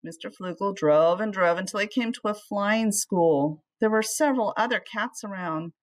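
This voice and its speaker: A middle-aged woman reading a children's storybook aloud in an animated way, speaking to young listeners as a teacher would. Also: mid-range voice, moderate pace. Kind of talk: expressive children's storybook read-aloud with asides.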